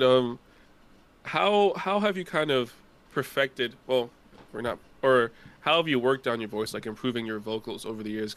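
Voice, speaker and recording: A man's voice: mid-range voice, fairly bright tone, clean tone. Young man asking rambling questions. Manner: long rambling questions with restarts. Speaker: podcast host. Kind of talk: casual interview questions.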